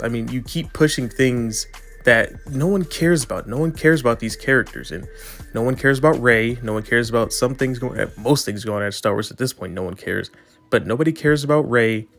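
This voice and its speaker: A man's voice: medium pitch, brisk, a bit bright, faint music behind. A man with a stuffy, nasal voice from allergies, giving earnest, rambling opinion commentary with frequent restarts. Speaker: video commentator.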